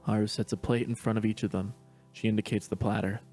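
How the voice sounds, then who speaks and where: A man narrating stage directions in a steady, matter-of-fact reading voice. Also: lowish voice, fast, faint music behind. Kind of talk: plain read-aloud narration.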